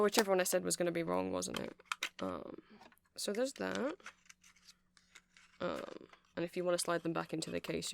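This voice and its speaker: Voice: mid-range voice, vocal fry, a bit bright, slight background noise. A performer in an ASMR roleplay playing a nerdy, awkward, friendly male vampire music-shop seller who rambles hesitantly and apologetically to the listener.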